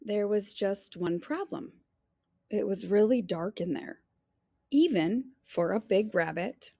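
A woman reads a children's storybook aloud at an unhurried pace. Her delivery is lively and dramatic, lingering on important phrases, and the recording is close and dry. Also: mid-range voice, dry recording. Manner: dramatic, drawn-out emphasis on key phrases. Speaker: teacher reading a picture book aloud.

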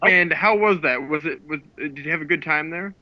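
A man co-hosting a podcast, asking halting, hesitant questions. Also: on the high side, dry recording. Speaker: podcast co-host. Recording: dry muffled call audio.

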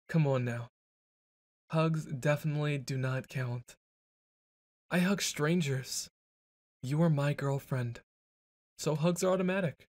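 A young man talks slowly and deliberately in an intimate boyfriend roleplay. He is brash and teasing, then stumbles into flustered little stammers. His voice sits at an ordinary middle pitch. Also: no echo.

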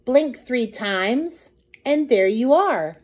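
A middle-aged woman reading a children's picture book aloud in an expressive, deliberate, sing-song way. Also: on the high side. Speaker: children's storytime reader.

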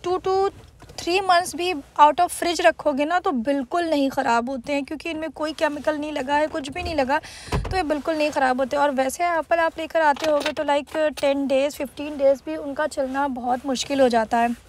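A woman's voice: high voice, fairly even intonation, moderate pace. A woman from India narrating a casual vlog, chatting easily and dropping English words into her talk. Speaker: vlogger.

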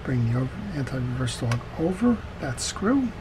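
A man's voice: medium pitch, moderate pace. A man giving a methodical, casual step-by-step repair tutorial as he works at a workbench. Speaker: fishing reel repairer presenting a tutorial. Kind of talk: hands-on instructional demonstration, casual step-by-step talk. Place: indoors at a wooden workbench. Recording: steady background noise.

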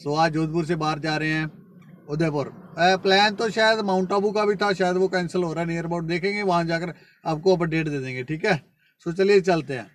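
A man's voice: a little high, moderate pace. A man in his thirties from Punjab in India, chatting upbeat to a phone held at arm's length in a casual travel vlog outdoors at a roadside eatery. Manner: chatty, upbeat, mixes English into Hindi. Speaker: travel vlogger. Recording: outdoor background noise.

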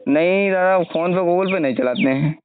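A man's voice: high-pitched, normal speed, a little hiss or hum. A man talking casually on a phone call, answering briefly in a placating way. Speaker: recipient of a scam call. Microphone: telephone line. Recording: tinny recorded phone call, band-limited.

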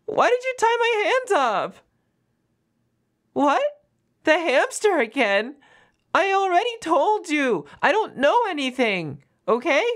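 A high, very animated young wolf girl, acted by a woman for an audio roleplay. She is defensive and easily flustered, whining and stammering her way through excuses at an unhurried, drawn-out pace. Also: heavily acted. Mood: panicked, whining. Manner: stammering, whiny, evasive.

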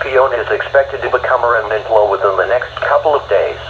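An American man's synthetic text-to-speech voice reading a weather bulletin in a flat, formal way. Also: medium pitch, a little fast. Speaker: automated weather radio announcer. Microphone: phone held to a radio speaker. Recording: dull radio broadcast re-recorded by phone, faint noise.

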